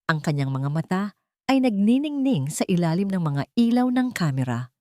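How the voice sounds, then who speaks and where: Female Filipino storyteller for scripted drama: slightly low register, animated intonation. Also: normal speed, no echo.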